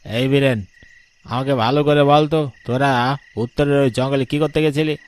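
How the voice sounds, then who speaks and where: A man voicing a grave, worried older village elder in a cartoon story, measured and authoritative. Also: mid-range voice, steady tone, lightly acted. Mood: grave, questioning.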